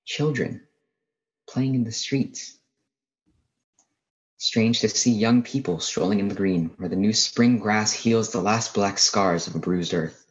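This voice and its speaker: A young man playing a reflective, weary professor who narrates a radio drama in a measured, solemn way. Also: lowish voice, lightly acted. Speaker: actor in a drama club radio play. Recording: muffled, band-limited radio-play sound.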